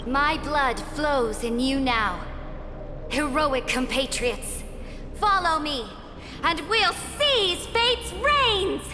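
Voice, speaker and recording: A proud tyrant empress, high voice, slow grand speeches, big reverb n music. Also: female voice, lively intonation, heavily acted. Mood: rallying. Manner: grand, declamatory, formal oratory. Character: proud, imperious conquering empress, commanding and unrepentant. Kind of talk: dramatic video game voice acting. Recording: long reverb with loud music behind.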